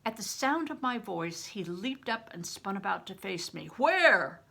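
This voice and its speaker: An older woman reads a children's novel aloud in a bright, forceful voice with noticeable creak. Her delivery is expressive and emphatic, like a seasoned storyteller. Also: medium pitch, normal speed. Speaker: retired reading teacher, storyteller. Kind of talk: expressive audiobook-style reading of a children's novel.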